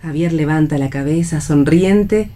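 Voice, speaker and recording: A woman with an Argentine accent reading scripted stage directions for a radio play in a measured, descriptive manner. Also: low-pitched, mellow.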